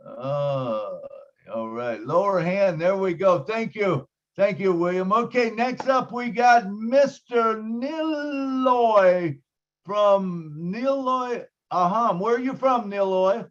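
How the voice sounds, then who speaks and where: A man's voice: sits high, slow. A man hosting an online video call meeting, talking casually with plenty of uh and you know. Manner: relaxed, filler-heavy, hedging. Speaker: meeting host. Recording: dull lo-fi video call audio.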